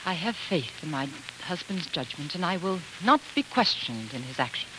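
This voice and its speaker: A woman's voice: deep voice, very animated, normal speed, background noise. A woman acting a headstrong, devoted young American wife in a 1940s radio drama, with earnest, emphatic theatrical delivery. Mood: firm, defensive. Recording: 1940s radio broadcast with music.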